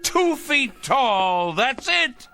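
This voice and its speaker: An actor playing a deranged, self-righteous crank in an audio drama, ranting gleefully and muttering to himself. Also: male voice, very high voice, very animated, very slow, gravelly, bright, forceful tone, soft background music, full character voice. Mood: manic glee. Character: gleeful deranged crank bent on judging evil people. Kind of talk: theatrical audio drama acting.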